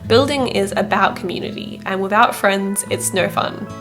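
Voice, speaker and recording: A woman's voice: mid-range voice, normal speed, fairly bright tone, faint music behind, rough recording. A woman narrating a vlog in a scripted voice-over, reflective and sincere. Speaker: vlogger, narrator.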